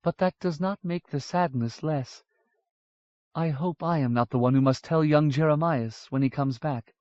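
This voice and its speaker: A man's voice: fairly high pitch, moderate pace, a little mellow, no echo, pitched above the performer's own voice, lightly acted. A man voicing a stern, worried older noblewoman who speaks in a cross, fretful way. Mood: grieving. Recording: dull lo-fi audiobook recording.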